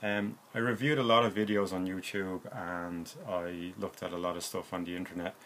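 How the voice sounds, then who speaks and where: An Irish man in his forties with a low voice explains a hobby project casually. He speaks plainly and hesitantly, working without a script. He is recorded on a phone in a room at home, with faint noise behind him. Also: little pitch movement, normal speed, fairly bright tone. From Ireland. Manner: hesitant, unscripted, plain-spoken. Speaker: hobbyist presenter.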